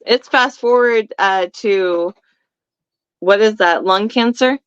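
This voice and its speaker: A middle-aged woman with a smooth, clear voice who chats and tells stories easily. The recording sounds slightly dull, as if low quality. It is also dry, with no room sound. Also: slow, no room sound. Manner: chatty storyteller, laughs easily. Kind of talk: casual chat.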